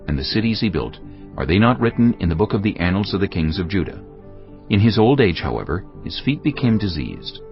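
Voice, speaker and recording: A man narrating scripture in a measured storytelling style for a dramatized audio Bible. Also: low voice, highs cut off.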